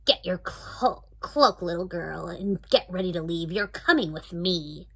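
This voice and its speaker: A woman voicing a bossy, impatient witch in a storybook reading, with a gruff, scolding manner. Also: lowish voice, lively intonation, unhurried, voice pushed down, full character voice. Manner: gruff, scolding, bursts into shrieks. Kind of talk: reading a picture book aloud.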